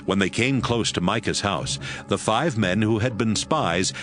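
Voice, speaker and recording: A man narrating scripture in a steady, measured way. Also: fairly low pitch, over music. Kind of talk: scripted audio Bible narration.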